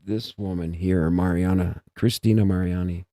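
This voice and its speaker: A man's voice: low voice, fairly even intonation, normal speed, warm tone, no room sound. A man hosting a casual monologue, rambling and interrupting himself as he muses aloud, recorded close and dry. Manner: meandering, self-interrupting, musing aloud. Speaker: host.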